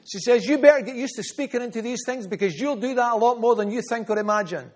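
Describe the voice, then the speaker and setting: Male preacher, high-pitched, earnest storyteller. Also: fast. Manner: earnest, storytelling, repeats phrases for emphasis. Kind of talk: sermon.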